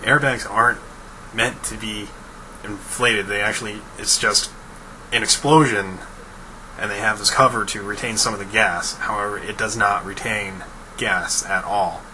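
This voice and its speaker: A man's voice: lowish voice, steady tone, measured pace, sharp tone. A young man chatting casually in a blunt, matter-of-fact way. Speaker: participant in a phone chat. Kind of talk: casual chat. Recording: noisy phone-call recording.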